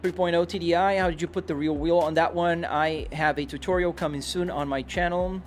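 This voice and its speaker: Higher-voiced Brazilian man livestreaming, music behind. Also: steady tone, fairly quick. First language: Portuguese. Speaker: livestreamer playing a driving simulator. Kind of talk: casual livestream chat, reading and answering viewer questions. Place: home office sim rig. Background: music, car engine sounds from game.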